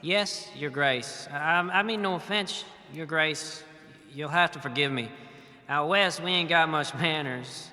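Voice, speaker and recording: An actor playing a humble, rough commoner, folksy and stammering as he testifies before a king in an audio drama. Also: fairly high pitch, measured pace, pushed and bright. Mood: nervous, deferential. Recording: echoey great hall.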